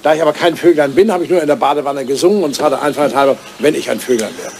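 Actor as excitable old lord, high creaky voice. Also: male voice, rapid-fire, lightly acted. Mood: wistful, reminiscing. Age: older. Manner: theatrical, impulsive. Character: excitable, wistful old English lord in love. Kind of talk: German radio comedy drama acting. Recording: old radio play recording, faint noise.

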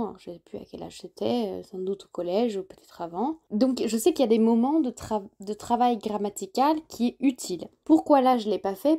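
A woman's voice: medium pitch, mellow. A French woman hosting a solo podcast, thinking aloud with many fillers and restarts as she reflects on an experience. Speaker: podcast host.

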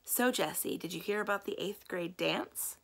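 A woman's voice: medium pitch, moderate pace, fairly bright tone. Woman reading as a playful, casual teenage boy. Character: friendly, playful eighth-grade boy working at bakery counter.

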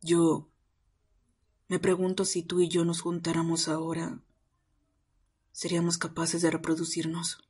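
A woman playing a brooding, possessive young male vampire in a romantic audio drama, husky and earnest. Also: lowish voice, monotone, unhurried, pauses often, a little mellow. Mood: somber, resigned.

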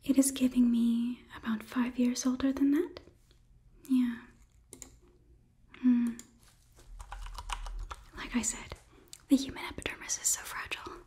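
A woman in her twenties playing a condescending, clinical female robot overlord in a soft whispered ASMR roleplay. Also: a little high, little pitch movement, unhurried, pauses often, slight background noise, close to an everyday voice. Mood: smug, clinical. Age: 20s. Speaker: ASMR performer. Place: small indoor studio set. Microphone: close microphone near camera.

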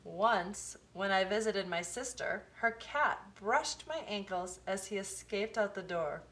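A woman reading a children's picture book aloud, with expressive, deliberate phrasing. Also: mid-range voice. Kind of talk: storybook read-aloud for children.

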